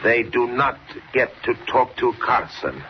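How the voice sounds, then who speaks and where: Slow, menacing foreign-accented outlaw boss. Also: male voice, medium pitch, big pitch swings. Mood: cold menace. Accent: foreign, broken English. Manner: slow, deliberate, threatening. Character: menacing Western outlaw gang boss, adult man. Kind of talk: 1940s radio acting. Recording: dull, noisy old radio recording.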